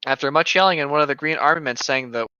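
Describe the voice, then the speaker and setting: Man, bright forceful tone, dry room, slightly dull lo-fi recording, playful read-aloud banter. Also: fairly high pitch, fast talker, clear, resonant tone, no echo. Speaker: podcast co-host reading and mocking internet horror stories. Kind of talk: reading aloud with casual joking banter. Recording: slightly dull, lo-fi home microphone.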